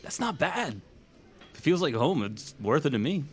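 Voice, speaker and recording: A man's voice: on the high side, sing-song, moderate pace, slightly rough. A man playing an easygoing, plain-spoken young guy in a naturalistic audio drama, talking casually. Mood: friendly, casual. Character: easygoing young man confiding past events.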